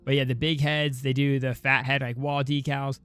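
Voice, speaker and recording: A man's voice: mid-range voice, level tone, moderate pace, a bit bright, clear, resonant tone, soft background music. A man hosting a game livestream, chatting informally and directly to his viewers. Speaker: game streamer and host. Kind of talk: casual livestream chat.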